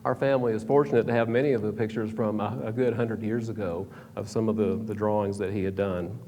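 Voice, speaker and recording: A man's voice: on the low side, steady tone, fast talker, mellow. A man presenting a prepared history talk in a hall, reading from a script with small stumbles and restarts. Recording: reverberant hall, faint background hum.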